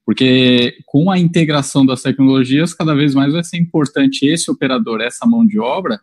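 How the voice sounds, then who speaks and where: Man with a husky voice, dry close sound, measured pace. Cast him as a video call host asking questions. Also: mid-range voice, a little mellow, no room sound. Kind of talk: casual chat.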